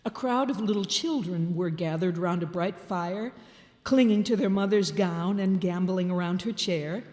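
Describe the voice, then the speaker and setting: A woman's voice: lowish voice, moderate pace. An older woman giving a theatrical live reading of a classic tale on a theater stage. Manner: expressive, theatrical narration. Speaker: storyteller. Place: large theater hall on stage. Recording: echoey hall with long reverb.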